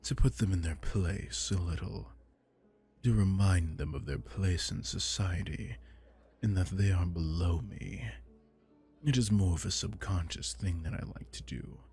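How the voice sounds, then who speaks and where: A man voicing an arrogant aristocratic male vampire lord in an ASMR audio roleplay, speaking in a slow, low, menacing seductive murmur. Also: a little mellow, soft background music. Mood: haughty.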